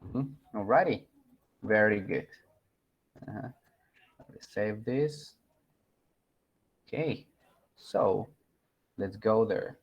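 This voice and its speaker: A man's voice: on the low side, drawn-out words, long pauses, breathy edge. A man runs an online English class in an easygoing, encouraging way. His voice comes through slightly muffled call audio. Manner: relaxed, encouraging, switches into Spanish. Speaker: English teacher.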